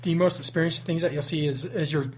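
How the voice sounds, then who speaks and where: Man, higher voice, ghost-hunter guest, earnest, explanatory, faint hum. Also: steady tone, a little fast. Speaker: paranormal investigator guest. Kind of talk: casual radio interview.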